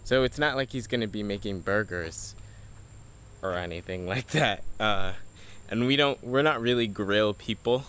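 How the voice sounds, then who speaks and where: A teenage boy, a high school senior, chatting in a rambling way in a casual video diary at home and laughing at himself. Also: normal speed, a bit bright, clean tone. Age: teens. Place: at home, partly outdoors on a deck. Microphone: handheld camera.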